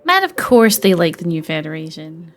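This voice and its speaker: A young woman doing casual, expressive playthrough commentary and reading game text aloud. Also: on the low side, normal speed, soft background music. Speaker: gaming streamer.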